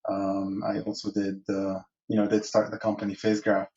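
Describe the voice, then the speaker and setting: A man's voice: on the low side, completely flat delivery, moderate pace, dark tone, slight background noise. A man in his forties from Egypt, a guest in a podcast interview, who talks in long rambling answers full of restarts and fillers. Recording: dull lo-fi sound.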